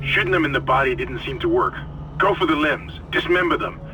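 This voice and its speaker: A man's voice: medium pitch, expressive, brisk, background noise, lightly acted. A man playing a serious ally giving terse, urgent combat instructions in a sci-fi horror game. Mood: urgent, cautioning.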